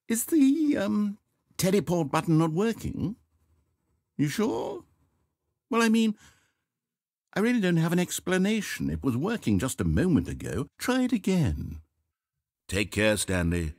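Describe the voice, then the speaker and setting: A man's voice: on the low side, breathy edge, no room sound, full character voice. A man voicing a sardonic, fussy video game narrator, passive-aggressive and dry in manner, recorded in a dry studio. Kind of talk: scripted game voice-over.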